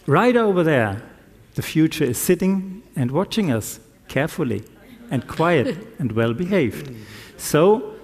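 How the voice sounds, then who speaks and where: A man who is not a native English speaker, giving a conference talk in a slow, deliberate way with self-deprecating humor. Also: a little high, big pitch swings, a little mellow. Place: conference hall. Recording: reverberant conference hall.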